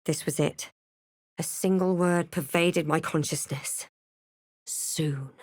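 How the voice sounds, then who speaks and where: A woman playing a wry, guarded adventurer heroine in a fantasy game, with a measured, dramatic delivery. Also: low voice, steady tone, no echo, clean studio sound. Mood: grave narration. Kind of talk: scripted fantasy game voice lines and narration.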